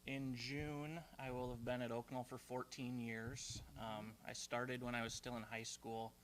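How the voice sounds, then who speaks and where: A man's voice: mid-range voice, unhurried, rough recording. A young man, speaking plainly with many ums as he talks about his work as transportation manager to a room of residents. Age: young adult. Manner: flat, plain delivery with frequent ums. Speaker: transportation manager giving a talk. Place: community room at a retirement residence.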